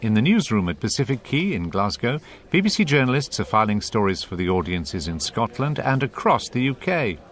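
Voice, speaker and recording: A man presents a radio feature from a script. His pitch sits in the middle range and moves with a lot of animation. The delivery is that of a programme presenter. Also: sing-song, a little fast, fairly bright tone. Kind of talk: scripted narration.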